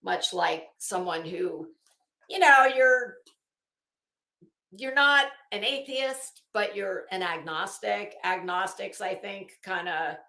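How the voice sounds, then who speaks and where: A woman host reading aloud and adding sarcastic, emphatic, halting commentary as she goes. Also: mid-range voice, gravelly, sharp tone. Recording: echoey room sound.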